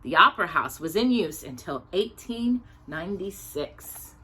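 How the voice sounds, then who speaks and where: A woman's voice: lowish voice, animated, measured pace, pushed and bright, breathy edge, rough recording. An American woman from Texas reading a nonfiction children's book aloud as a storytime host, with chatty, informal asides. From Texas, United States. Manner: chatty asides, stumbles over longer words.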